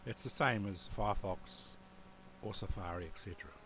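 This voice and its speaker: New Zealand man with a low voice. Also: normal speed, a little hiss or hum, low-quality recording. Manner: relaxed, rambling, with restarts. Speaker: tutorial presenter. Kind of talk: casual screen-recorded tutorial.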